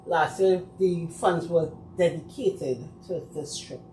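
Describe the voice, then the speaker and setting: Trinidadian woman, group representative, low voice, steady explainer, echoey room. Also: takes it slowly, soft background music. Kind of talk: casual chat. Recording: echoey room, faint background noise.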